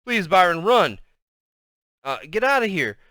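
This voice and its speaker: A man's voice: high-pitched, fairly even intonation, measured pace, sharp tone, clean tone, no echo, clean studio sound, exaggerated voice. A man voicing a scared young boy, nervous and urgent as he pleads, in a storybook reading. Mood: urgent warning.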